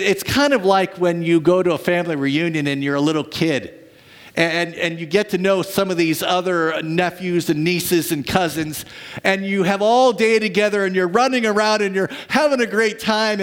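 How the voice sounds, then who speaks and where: A man preaching a sermon to his church as pastor, telling stories dramatically and swinging from lively to hushed. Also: high-pitched, normal speed.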